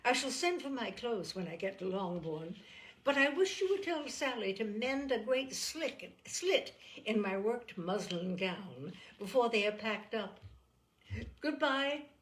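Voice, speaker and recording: A woman's voice: on the high side, big pitch swings, a little slow, rough recording. A woman voicing a giddy, boastful, thoughtless young girl, playful and exclaiming, in a storybook reading. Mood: giddy, gleeful.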